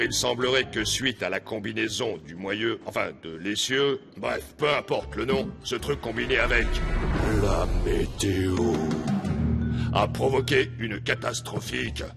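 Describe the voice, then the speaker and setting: A pompous billionaire boss from a cartoon dub, with a creaky voice over a music bed. He blusters, hesitates and gets excitable about his own importance. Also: medium pitch.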